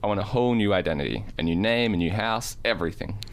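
A man's voice: on the low side, animated, fast talker, pitched above the performer's own voice. A man acting a mild-mannered, weary school teacher in radio play dialogue, earnest and a little hesitant. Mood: wistful.

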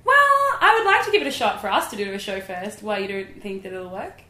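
A woman's voice: medium pitch, very animated, quick pace, bright, forceful tone. A young woman co-hosting a podcast, chatting casually with playful teasing and frequent giggles. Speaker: podcast co-host. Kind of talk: casual comedic chat. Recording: echoey room.

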